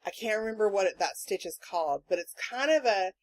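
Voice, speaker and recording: A woman hosting a podcast in casual chat, telling rambling stories in an animated, sweary way. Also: mid-range voice, a little fast. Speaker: podcast host.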